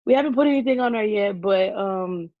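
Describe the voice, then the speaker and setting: A woman co-hosting a casual podcast over a video call, hoarse from losing her voice and talking loudly to be heard, with frequent ums. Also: mid-range voice, a little fast, no echo. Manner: talks loudly to push past a weak voice, with frequent ums. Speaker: podcast co-host. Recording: dull lo-fi video call audio.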